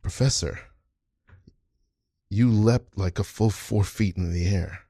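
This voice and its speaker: A man's voice: on the low side, takes it slowly, mellow, dry recording, studio quality. A man improvising an earnest, devoted university student who is reassuring, apologetic and deferential. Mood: anxious, supportive.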